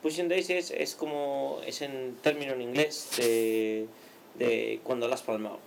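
A man's voice: mid-range voice, steady tone, a little slow, creaky, slight background noise, poor audio. A man hosting an amateur radio show, chatting casually about films and tech news with plenty of hesitant fillers. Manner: hesitant, many fillers and restarts. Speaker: radio host.

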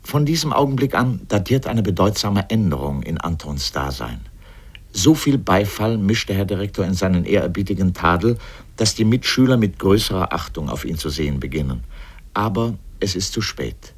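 A man delivering scripted literary commentary for a radio production, with deliberate, formal phrasing. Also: low-pitched, expressive, husky. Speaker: narrator. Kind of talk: scripted documentary-like literary narration.

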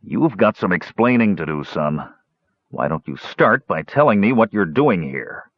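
A man voicing a stubborn older dwarf uncle in a fantasy audiobook, gruff but fond, with folksy, teasing scolding. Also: low voice, very animated, unhurried, dry recording, dull audio, heavily acted. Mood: stern. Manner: old-fashioned folksy speech, scolding and teasing.

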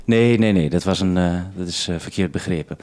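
A man's voice: sits low, a little fast, a little mellow. A Dutch man from the Netherlands presenting radio, talking casually and solicitously over a two-way link. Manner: solicitous, questioning. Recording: noisy, clicky 1971 radio recording.

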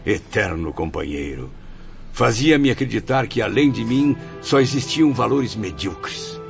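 An actor with a Brazilian accent playing a proud, embittered blind writer's spirit in a radio soap, declaiming theatrically. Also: male voice, on the low side, lively intonation, slow pace, vocal fry, exaggerated voice. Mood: bitter, remorseful. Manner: theatrical, declamatory, grandiose, with swelling and sinking emphasis. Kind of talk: radio soap opera acting. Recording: dull lo-fi audio, music behind.

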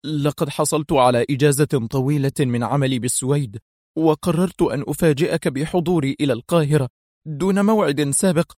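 A man's voice: a little high, brisk, a little mellow, no room sound, voice pushed up, lightly acted. A man voicing a distraught male doctor, agitated and close to tears, in an audiobook thriller. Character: distraught, trembling male surgeon, wrongly accused brother.

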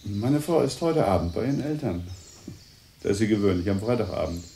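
An actor playing a composed gentleman who calmly probes with questions in a radio drama. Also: fairly low pitch, brisk, creaky, slight background noise. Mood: calm probing. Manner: calm, probing questions. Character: probing, composed gentleman host, Tom Ripley.

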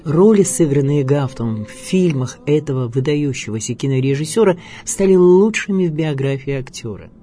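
A man narrating a scripted radio biography in a measured, expressive storytelling manner. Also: a little high. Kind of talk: scripted documentary narration with dramatic readings. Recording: music bed under voice. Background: music.

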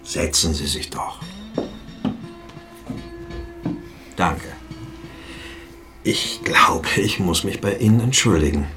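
Shrewd ailing inspector, low voice, courteous, wry, insinuating, radio drama, faint music behind. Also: male voice, lively intonation, measured pace. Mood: wry courtesy. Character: shrewd, ailing male police inspector, sardonically polite.

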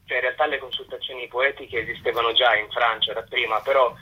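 A man's voice: mid-range voice, fairly even intonation, brisk, pushed and bright, slightly rough, poor audio. A man explaining a project as a guest in a radio interview. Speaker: theatre representative, interview guest.

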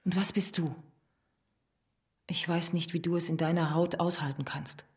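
A stern woman revolutionary played by an actress speaks with a fairly low voice. She is intense and accusing, in the manner of a serious radio play. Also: steady tone, moderate pace, darkish tone, close to an everyday voice. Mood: bitter. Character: stern, reproachful female revolutionary comrade. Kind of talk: German radio drama acting.

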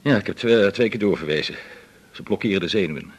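Male medical colleague, radio drama: blunt, teasing, raspy, expressive intonation. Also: lowish voice, fast. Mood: matter-of-fact. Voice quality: gravelly, husky. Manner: blunt, informal. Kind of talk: naturalistic Dutch radio drama acting.

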